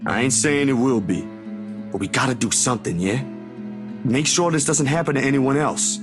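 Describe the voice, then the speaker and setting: A man's voice: mid-range voice, moderate pace, over music, lightly acted. A man voicing a loyal, stubborn, good-hearted young everyman in a video game drama, speaking in an earnest, slangy way. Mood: hopeful, determined.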